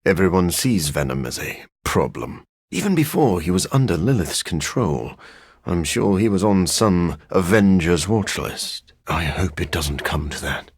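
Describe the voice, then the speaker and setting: A living-vampire man of science for a video game, with a husky, rasping voice. He is formal and brooding, with a sardonic, dry wit. The pitch sits fairly low and the sound is close, with no room around it. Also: big pitch swings, a little slow, dry recording, full character voice. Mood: measured, brooding. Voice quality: husky, raspy. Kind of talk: video game character voice acting.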